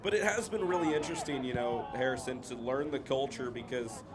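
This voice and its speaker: A man's voice: medium pitch, brisk, low-quality recording. A man from the United States with an American accent, giving live football commentary. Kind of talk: live play-by-play sports commentary. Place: outdoors at a football ground. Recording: outdoors with loud crowd noise. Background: loud crowd.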